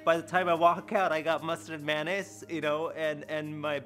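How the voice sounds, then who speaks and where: A man's voice: fairly high pitch, level tone, normal speed, fairly bright tone, soft background music. A man speaking as a family franchise owner, telling anecdotes in an interview.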